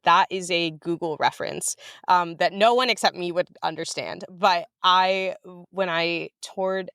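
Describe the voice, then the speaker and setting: Clear-voiced woman in casual interview conversation. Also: fairly low pitch, a bit bright, no room sound, studio quality. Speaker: interview guest, writer and director. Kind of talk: casual, reflective interview talk.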